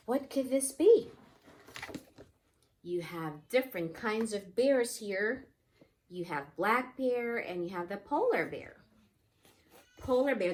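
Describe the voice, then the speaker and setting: A woman teaches young children from a picture book. Her voice sits a little low and she takes her time, with a very slow pace. She keeps explanations simple and often puts questions to the children. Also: a little hiss or hum. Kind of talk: interactive picture-book read-aloud lesson for young children.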